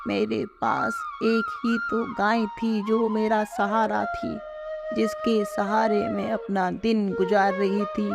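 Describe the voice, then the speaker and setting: A woman acts out an elderly, kindly village woman for a cartoon moral tale. She speaks slowly and plaintively, with a gentle manner and a lively melody to her voice. Loud flute music plays behind her. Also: mid-range voice. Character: frail old village woman, kind, generous, sorrowful. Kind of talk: Hindi moral cartoon story acting.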